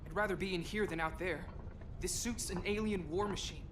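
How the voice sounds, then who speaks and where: An actor playing an excitable, nervous teenage boy superhero in a video game, talking eagerly. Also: sits high, brisk, noisy background, close to an everyday voice. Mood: nervy. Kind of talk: video game cinematic acting.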